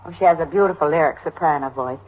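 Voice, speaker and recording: An actress playing a chatty, homely middle-aged American widow in a radio drama, rambling on eagerly to please. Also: female voice, sits low, fast, a little mellow, a little hiss or hum, lightly acted, rough recording. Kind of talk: old-time American radio drama acting.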